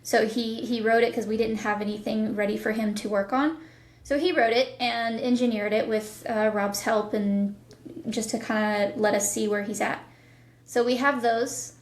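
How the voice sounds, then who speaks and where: A woman's voice: on the high side, fairly even intonation, normal speed. A woman chatting casually as a guest in a podcast interview. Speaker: guest, audio drama producer and voice actor. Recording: roomy, echoing sound.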